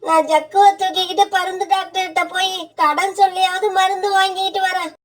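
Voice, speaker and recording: Woman voicing greedy wife bird, cartoon, bossy, scolding, very high voice. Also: fairly even intonation, brisk. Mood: urgent. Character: greedy young wife bird, sharp-tongued.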